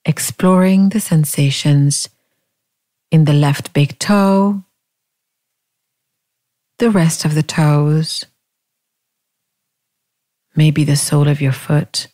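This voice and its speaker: Low-pitched, slow, mellow woman guiding meditation. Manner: calm, gentle, unhurried, with long pauses. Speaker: meditation guide. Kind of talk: guided body scan meditation.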